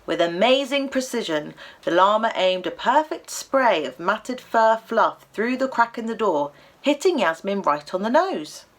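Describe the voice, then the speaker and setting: A British woman reading a children's story aloud expressively, with animated delivery and dramatic pauses. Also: medium pitch.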